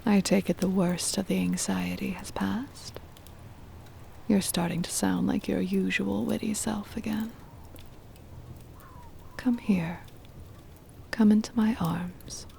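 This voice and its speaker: A possessive vampire noblewoman, played by a woman, whispers slowly and intimately in an ASMR roleplay. Her voice is soft and mellow, with very heavy creak. She sounds formal and doting, soothing her beloved pet up close over steady background ambience. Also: medium pitch, a little hiss or hum. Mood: soothing, devoted. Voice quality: breathy whisper with creaky fry.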